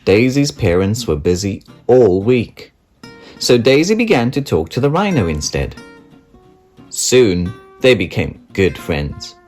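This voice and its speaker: An adult storyteller reading a picture book to children. The pace is slow and the intonation rises and falls widely, in an expressive read-aloud style. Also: medium pitch, soft background music. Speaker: storybook narrator.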